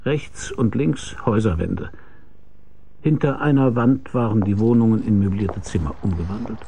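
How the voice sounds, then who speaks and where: Hard-boiled detective, gravelly, dark soft tone, dry and laconic, radio play, faint noise. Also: male voice, lowish voice, normal speed. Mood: wry narration. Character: wry hard-boiled male private detective. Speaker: lead actor and narrator. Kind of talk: radio crime drama, first-person narration.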